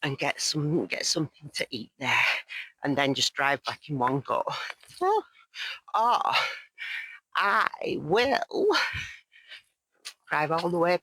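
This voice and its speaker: A woman vlogging as she hikes down a mountain, chatting in a rambling, jokey, self-mocking way, her voice hoarse and croaky. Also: low-pitched, takes it slowly, pauses often, fairly bright tone, dry recording. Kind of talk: casual chat to camera while hiking. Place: outdoors on a mountain path.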